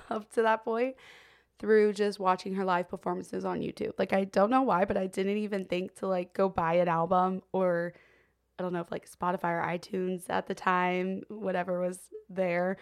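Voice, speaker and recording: A young woman co-hosting a podcast, talking casually with lots of fillers and frequent laughs. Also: mid-range voice. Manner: chatty, many fillers like "um" and "like", laughs often. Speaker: podcast co-host. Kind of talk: casual chat.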